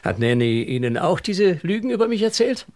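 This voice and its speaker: An actor in a German radio drama playing an accused husband, tense and husky, who questions people urgently. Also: male voice, high-pitched, sing-song, a little fast, a little mellow. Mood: agitated. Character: accused husband, tense man pressing for answers.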